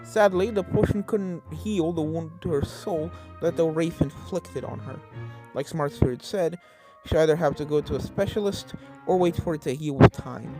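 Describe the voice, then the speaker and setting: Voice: medium pitch, moderate pace, dark tone, rough recording. A narrator reading an adventure story expressively, occasionally stumbling and correcting the wording, with game music behind. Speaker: narrator of a fan fiction reading. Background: video game music.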